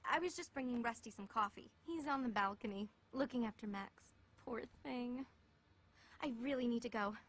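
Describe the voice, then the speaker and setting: Gushy young waitress, bubbly, high voice, video game cutscene. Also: female voice, normal speed, a bit bright. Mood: starstruck. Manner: gushing, chatty.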